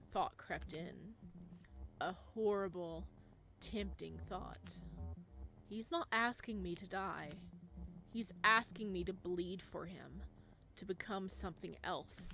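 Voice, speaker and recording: A woman reading a visual novel aloud as a player, in expressive, theatrical narration over music. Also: mid-range voice. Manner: expressive, theatrical reading with stresses and hushed passages.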